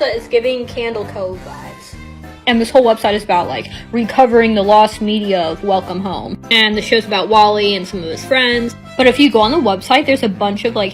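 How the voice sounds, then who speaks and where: A woman with a slightly high voice talks casually, like someone filling friends in on a new find. Her wording is slangy and informal. Some music plays behind her. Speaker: content creator explaining a horror project. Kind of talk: casual excited explainer, slangy.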